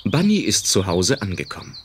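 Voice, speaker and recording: Very deep-voiced woman narrating. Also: huge pitch swings, moderate pace, a little hiss or hum. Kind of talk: scripted radio play narration.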